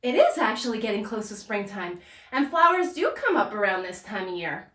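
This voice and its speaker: Female children's storyteller, bright and very lively, playful and coaxing, slightly sing-song puppet show hosting. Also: mid-range voice, a little fast, echoey room.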